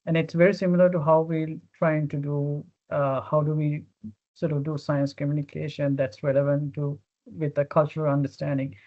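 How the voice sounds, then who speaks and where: A woman from Sri Lanka talks through an idea on a panel, her pitch staying flat and even. She often repeats words while she searches for the next thought. The audio is dull and lo-fi, like a video call. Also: moderate pace. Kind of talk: panel discussion.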